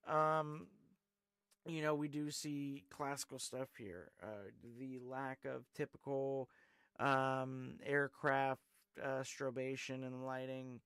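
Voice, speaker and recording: A man's voice: medium pitch, flat delivery, takes it slowly, fairly bright tone, clear, resonant tone, no room sound. A man hosting a live call-in stream, chatting loosely, rambling with lots of fillers and reading viewers' comments aloud. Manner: rambling, filler-heavy, reads chat aloud. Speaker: call-in show host. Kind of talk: casual live-stream chat.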